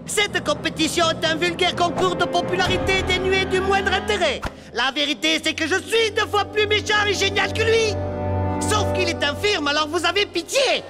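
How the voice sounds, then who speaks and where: Shrill, vain cartoon evil genius. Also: male voice, normal speed, over loud music. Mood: indignant ranting. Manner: boastful, ranting. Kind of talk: French cartoon dub acting.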